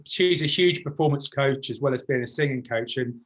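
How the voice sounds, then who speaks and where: A man's voice: mid-range voice, fairly quick, fairly bright tone. A man with a mid-range voice hosts a class informally. His way of talking is effusive and conversational, addressing a group. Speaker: host of music masterclass. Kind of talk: informal hosting, wrapping up a class.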